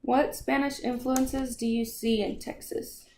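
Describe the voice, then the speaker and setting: Woman asking short interview questions, kinda high voice, echoey room. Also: little pitch movement, unhurried, dark tone. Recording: echoey room, faint ambient noise.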